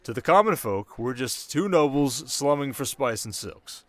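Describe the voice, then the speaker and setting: A man playing a young, sharp desert king in an intimate ASMR roleplay, teasing his new wife with measured, quietly commanding speech. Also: mid-range voice, lively intonation, no echo, close to an everyday voice. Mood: playful, brisk.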